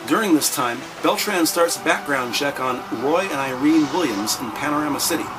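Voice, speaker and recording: Higher-pitched male crime drama narrator. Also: expressive, moderate pace, low-quality recording. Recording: music under the voice. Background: background music.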